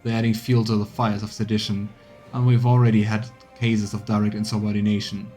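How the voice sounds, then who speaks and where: Man acting a low, stern army captain. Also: flat delivery, fairly quick, warm tone, soft background music, lightly acted. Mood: grave concern. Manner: formal, grave, clipped military reporting. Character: stern, duty-bound military captain.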